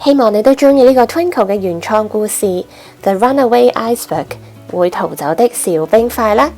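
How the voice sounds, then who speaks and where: A woman's voice: a little high, lively intonation, brisk, a little mellow, faint music behind. A woman narrating a children's storybook expressively as host.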